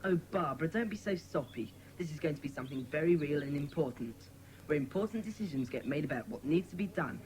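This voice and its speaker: A woman's voice: deep voice, lively intonation, fast talker, darkish tone, a little hiss or hum. A woman playing a blunt, matter-of-fact young schoolboy in a dramatised children's audiobook. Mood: impatient.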